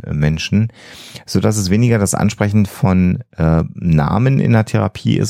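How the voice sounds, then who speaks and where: A man explaining psychology on a podcast in a measured way, hesitating as he searches for precise wording. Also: sits low, vocal fry, mellow, dry recording, studio quality.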